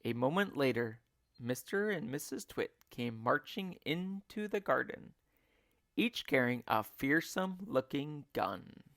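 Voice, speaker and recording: A man reading a children's story aloud as a teacher, in a measured, expressive narrating style. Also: medium pitch, no echo, clean studio sound.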